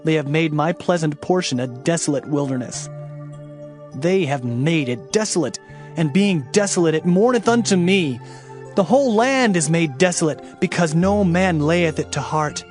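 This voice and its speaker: Man as Jeremiah, a higher-voiced, solemn prophet. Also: lively intonation, lightly acted. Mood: mournful warning. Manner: earnest, declamatory, deliberate. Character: Jeremiah, solemn grieving male prophet declaring doom. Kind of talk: dramatised scripture reading. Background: music.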